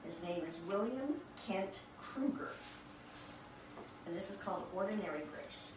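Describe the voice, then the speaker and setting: A woman talking informally to a group and reading book passages aloud, with halting, admiring asides. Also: mid-range voice, lively intonation. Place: echoey room with a small group present. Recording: echoey room, distant mic, clear background noise.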